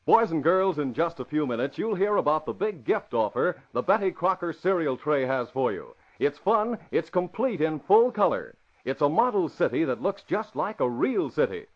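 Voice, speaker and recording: Vintage American male announcer: highish, declamatory, lively inflection, dull radio sound. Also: moderate pace, few pauses. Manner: emphatic, declamatory. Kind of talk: 1940s radio announcing, scripted. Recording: dull old radio recording.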